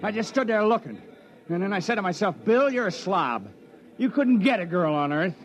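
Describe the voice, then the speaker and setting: An actor playing an excited, self-doubting male miner in a 1950s radio play. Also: sits high, normal speed, lo-fi sound. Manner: excited, chatty. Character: eager, insecure male miner on Mars. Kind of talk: 1950s radio drama acting. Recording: crowd-filled vintage radio scene. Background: crowd.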